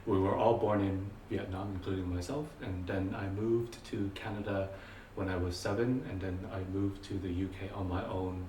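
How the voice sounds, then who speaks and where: A man in his forties, an art student, introducing himself in a measured way. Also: deep voice, steady tone, mellow, slight background noise. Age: 40s. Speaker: painting student. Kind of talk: self-introduction. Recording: echoey room, far from mic.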